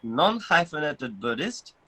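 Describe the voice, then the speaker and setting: A man's voice: on the high side, sing-song, slow, bright, forceful tone. A man who is a Buddhist monk, born in Bangladesh, talking casually with many filler sounds and chuckling at his own jokes. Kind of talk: conversational talk with fillers.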